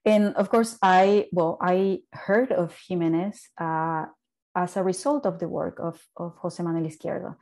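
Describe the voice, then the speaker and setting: A woman's voice: on the low side, steady tone, moderate pace, darkish tone. A woman, a violinist speaking on a video call from home through earphones, thoughtful, with many fillers and restarts. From Bolivia. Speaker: violinist, quartet founder. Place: home living room. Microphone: wired earphone headset.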